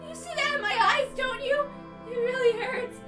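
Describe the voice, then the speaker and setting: Woman playing frightened defiant teen girl, visual novel drama, shrill high pitch, sobbing, pleading, distant roomy mic. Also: moderate pace, music in the background. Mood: desperate, tearful pleading. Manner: intense, pleading, bursting into sobs and shouts. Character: frightened, defiant teenage girl enduring punishment. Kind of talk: dramatic first-person visual novel reading.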